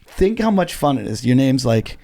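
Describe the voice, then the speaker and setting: A middle-aged man, a stand-up comedian, telling stories in a casual podcast chat, animated and swearing freely. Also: mid-range voice, normal speed, vocal fry. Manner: animated storytelling, heavy swearing.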